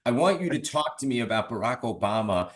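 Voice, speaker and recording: A man hosting an interview in a conversational way. His voice sits at an ordinary middle pitch. He puts his questions earnestly and stays deferential toward his guest. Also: expressive, fairly quick. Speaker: podcast host.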